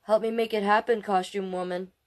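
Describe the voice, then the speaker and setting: A woman with a mid-range voice reads a children's story aloud as a storytime host. Her delivery is expressive, and she slips into affectionate, conversational asides, often repeating a phrase. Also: mid-range voice, fairly quick. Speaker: storytime host reading picture books.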